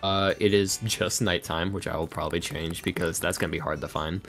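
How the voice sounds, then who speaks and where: Low-voiced man over music, chatting casually. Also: steady tone, brisk, vocal fry, a bit bright. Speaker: podcast guest, ASMR roleplay creator and voice actor. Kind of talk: casual podcast interview talk. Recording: music playing under the talk.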